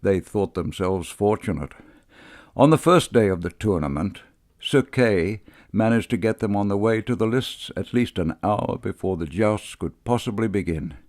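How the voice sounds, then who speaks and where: A man reading literary prose and verse as an audiobook narrator, in a measured, expressive storytelling way. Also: fairly low pitch, no echo, clean studio sound.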